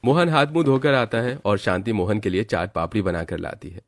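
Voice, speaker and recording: A man's voice: on the low side, a little fast. A man reading scripted narration for a cartoon moral story as its storyteller. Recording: background music under the voice.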